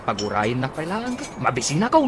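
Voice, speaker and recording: A man's voice: medium pitch, huge pitch swings, fast, noisy background. A man playing an impatient, grumbling husband in a comedy radio drama, hurrying people along. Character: impatient, hungry husband, showing off to friend.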